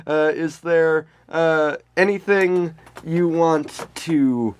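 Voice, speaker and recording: A man's voice: fairly high pitch, fairly even intonation, very slow, clean tone. A man co-hosting a comedy podcast, chatting casually in a jokey, rambling way with plenty of swearing. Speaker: podcast co-host.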